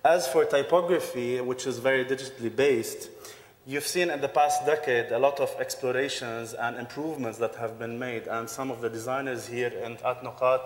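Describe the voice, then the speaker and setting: A man's voice: medium pitch, steady tone, normal speed. A man from Dubai, co-founder of a design studio, giving an earnest conference presentation with occasional fillers. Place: large conference hall. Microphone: handheld or podium microphone. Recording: long hall reverb.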